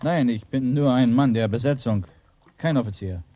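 A man's voice: medium pitch, moderate pace, natural voice. An actor playing a composed, polite male German U-boat crewman, terse and formal, in a 1940s radio drama. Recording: muffled, noisy old radio recording.

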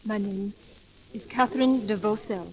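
A woman's voice: mid-range voice, normal speed, lightly acted, rough recording. An actress playing a refined, earnest young lady-in-waiting in a romantic 1930s radio drama. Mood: poised.

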